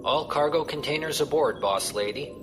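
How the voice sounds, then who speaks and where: A man's voice: on the high side, animated, moderate pace, loud music behind the voice, close to an everyday voice. An actor playing a chummy, teasing pirate crewman in an audio drama.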